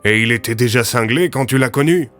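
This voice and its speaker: A man's voice: mid-range voice, brisk, a bit bright, soft background music, close to an everyday voice. A man playing a blunt, questioning police detective in an audio drama. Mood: puzzled. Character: blunt male police detectives, plain-spoken.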